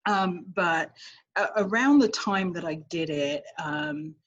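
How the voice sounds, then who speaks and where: A woman with a London English accent, speaking as an immigration lawyer guest in a casual interview, thoughtful and halting with many fillers. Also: on the low side, lively intonation, dull audio. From England.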